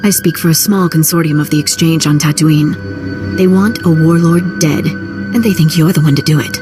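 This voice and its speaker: A woman as a low-voiced, sardonic underworld fixer. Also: normal speed, natural voice. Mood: brisk, persuasive. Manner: sardonic, streetwise, casual. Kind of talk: video game acting. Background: loud music.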